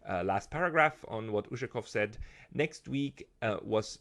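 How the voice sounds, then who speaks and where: A man's voice: on the low side, expressive, a little slow, creaky, a bit bright. A man hosting political analysis, reading out a statement and commenting on it. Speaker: host and commentator.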